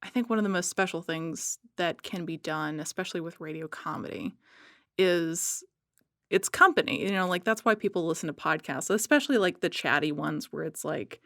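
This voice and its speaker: A woman talking casually as a podcast guest, rambling a little and haltingly as she thinks aloud. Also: lowish voice, vocal fry, a bit bright, no room sound, studio quality.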